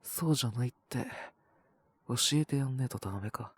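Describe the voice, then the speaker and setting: A man voicing a cocky, possessive young wolf-boy boyfriend with a cold, in an intimate audio roleplay, speaking bluntly and teasingly in a breathy, husky voice. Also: medium pitch, fairly quick, no room sound, natural voice. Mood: low, provoking. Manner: blunt, rough casual speech, teasing, languid. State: sick with a cold and cough. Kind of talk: Japanese situation-voice roleplay aimed at the listener.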